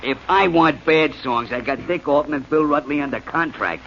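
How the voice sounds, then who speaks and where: A man's voice: on the high side, fairly bright tone. A man playing a brash, joking music publisher in 1940s radio acting. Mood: breezy. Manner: brash, fast-joking, gruff. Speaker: supporting actor. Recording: dull, slightly hissy old radio broadcast.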